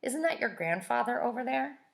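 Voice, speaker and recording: A woman's voice: on the high side, animated, brisk, creaky, noisy background, voice pushed up. Woman reading as a kindly fairground booth lady. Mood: gently advising.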